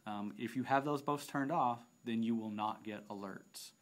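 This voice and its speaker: A man narrating an unscripted software demonstration, explaining step by step with halting phrasing and repeated words. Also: medium pitch. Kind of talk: unscripted app tutorial narration.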